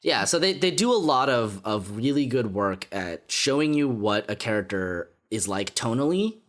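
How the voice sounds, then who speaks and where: A man co-hosting a casual anime podcast who talks through his ideas in an analytical, considered way. His voice moves through a wide pitch range and sounds animated as he builds a point. His pitch sits in the middle for a man. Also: moderate pace. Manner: thoughtful, analytical, with lively intonation. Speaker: podcast co-host.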